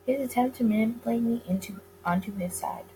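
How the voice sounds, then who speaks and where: A woman's voice: on the high side, fairly quick, warm tone. A woman doing a let's play, narrating story text aloud and stumbling over the odd word. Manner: stumbles over words and rereads lines. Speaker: gamer reading a visual novel aloud. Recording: clear background noise.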